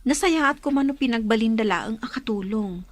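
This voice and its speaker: A woman's voice: on the high side, animated, moderate pace. A woman playing a concerned, questioning younger sister in a radio drama. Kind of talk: Ilocano radio drama acting.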